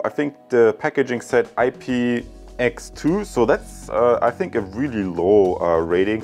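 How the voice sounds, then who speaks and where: A man's voice: medium pitch, a little mellow. A man in his thirties giving a casual product review, explaining things in a hesitant, repetitive way.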